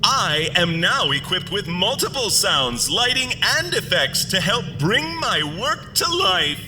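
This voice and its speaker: High, bright, pompous theatrical alien. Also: male voice, big pitch swings, measured pace, big-room sound, faint music behind, exaggerated voice. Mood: self-important. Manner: pompous, grandiose, florid. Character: theatrical alien monster, pompous self-styled thespian playwright. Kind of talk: audio drama acting.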